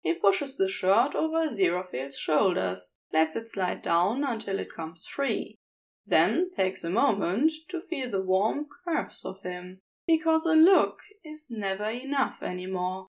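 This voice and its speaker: A woman's voice: mid-range voice, lively intonation, unhurried, gravelly. A woman narrating a piece of scripted fiction as an audiobook-style reader. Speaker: podfic narrator.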